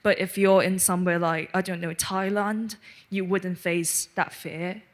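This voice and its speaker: A woman's voice: fairly low pitch, fairly even intonation, measured pace. A woman from Hong Kong asking a spontaneous question from the audience, hesitant and often rephrasing herself.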